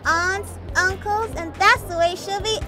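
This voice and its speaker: Very high-voiced Jamaican little girl puppet. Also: steady tone, moderate pace, loud music behind the voice. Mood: reassuring. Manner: explanatory, teacherly. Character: young Jamaican girl puppet, confident little know-it-all. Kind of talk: children's puppet show acting.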